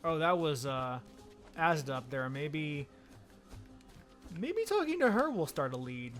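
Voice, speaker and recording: A male streamer talks through his game run in a relaxed, wry way, reasoning aloud as he goes. His voice is bright and forceful and pitched a little high. Quiet game music runs underneath. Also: a little slow. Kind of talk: casual gameplay commentary.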